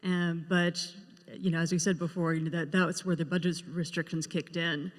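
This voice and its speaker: A panelist chatting casually in a reverberant live hall. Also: male voice, a little high, flat delivery, normal speed. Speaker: panelist, TV writer. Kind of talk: casual chat.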